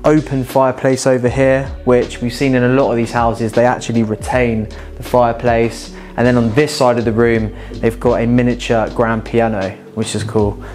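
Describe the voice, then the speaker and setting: A young man talks viewers through a house in a relaxed, enthusiastic way, pointing out features as he walks. His voice sits at an ordinary middle pitch. Faint music plays underneath. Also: normal speed, few pauses. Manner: upbeat, enthusiastic, conversational while walking. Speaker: house tour host. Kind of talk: casual walking house tour. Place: large house, indoors and garden.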